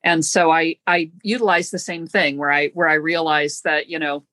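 Thoughtful woman host, halting and self-deprecating, slightly low pitch. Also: fairly even intonation, bright, forceful tone, dry recording. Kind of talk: conversational interview.

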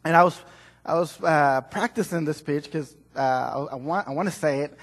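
A man with a Mexican accent, Spanish his first language, preaching a sermon in English and Spanish, halting, restarting and laughing at himself. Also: fairly high pitch, big-room sound. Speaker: pastor. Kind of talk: bilingual sermon with own interpreting. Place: large church sanctuary.

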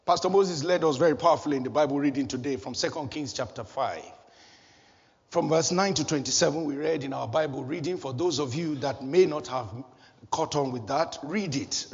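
A man preaching a sermon, animated and chuckling at his own jokes, recorded in a church hall. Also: fairly high pitch, moderate pace, breathy edge. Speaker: pastor. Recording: dull echoey hall recording.

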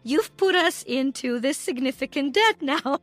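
A woman's voice: high voice, lively intonation, a little slow, dry recording, soft background music. A middle-aged woman from Ukraine with a Ukrainian accent, telling her personal story emphatically as an interview guest. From Ukraine, former Soviet Union. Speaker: guest, entrepreneur and coach.

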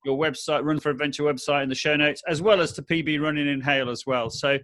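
Chatty podcast host. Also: male voice, a little high, fairly even intonation, fast, a bit bright. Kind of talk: casual podcast chat.

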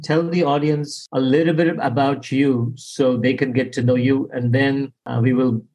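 A man's voice: mid-range voice, fairly even intonation, normal speed, darkish tone, low-quality recording. Podcast host, loose chatty man. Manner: loose, restarts sentences. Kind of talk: casual interview.

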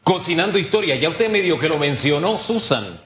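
A man's voice: a little high, big pitch swings, normal speed, a bit bright, slight background noise. A man hosting a casual TV interview, asking questions in a friendly, conversational way. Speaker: TV host.

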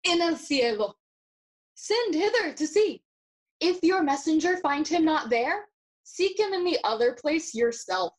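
A woman playing a sardonic, riddling young prince in classical audio drama, with a deliberate, taunting delivery. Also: high voice, expressive. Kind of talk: classical theatre acting in audio play.

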